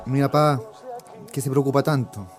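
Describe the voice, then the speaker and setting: Man acting young male student leader, Chilean accent, idealistic, colloquial, mid-pitched, radio drama. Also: steady tone, moderate pace, over music, lightly acted. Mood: earnest, reassuring. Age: young adult. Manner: colloquial, earnest.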